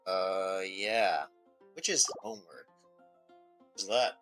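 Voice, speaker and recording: A man giving casual live game commentary as a streamer, reading text aloud and thinking out loud. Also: sits low, lively intonation, takes it slowly, faint music behind. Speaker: streamer, vtuber.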